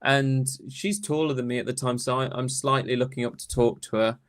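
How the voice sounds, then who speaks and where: A man's voice: mid-range voice, steady tone, brisk. A man speaking as an academic interview guest, telling anecdotes in a thoughtful, rambling, conversational way.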